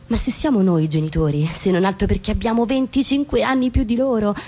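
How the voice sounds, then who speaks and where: An actress playing an anxious young wife and mother in a radio drama, emotional and given to halting repetitions. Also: mid-range voice, mellow, a little hiss or hum, natural voice. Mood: exasperated, sarcastic. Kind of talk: stage-style radio drama acting.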